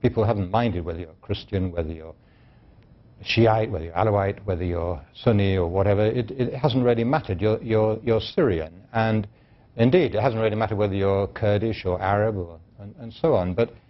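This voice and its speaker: A man with a low voice answers as a studio guest in a television interview. He speaks in a measured way and often restarts his sentences. The broadcast audio sounds dull and lo-fi. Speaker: studio guest, terrorism analyst.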